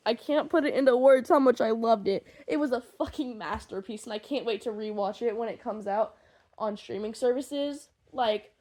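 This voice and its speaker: A young adult woman with a voice on the high side talks informally to her followers. She rambles along with excitable, gushing enthusiasm. Her wording is loose and full of slang, like a fan creator chatting off script. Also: normal speed. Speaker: podfic creator and fan fiction writer. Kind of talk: casual rambling chat to listeners.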